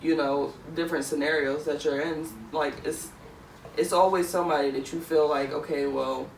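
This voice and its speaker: A woman's voice: deep voice, steady tone, moderate pace. Rambling Philly woman in her twenties. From Philadelphia. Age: 20s. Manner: rambling, many "like" fillers. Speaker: podcast co-host. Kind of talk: casual chat. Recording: echoey room, mic far off, faint noise.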